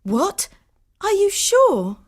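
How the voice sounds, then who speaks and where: A woman playing a kind, romantic, conciliatory woman in her early twenties, expressive, in acted audiobook dialogue. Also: extremely high pitch, very slow, full character voice. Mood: flustered.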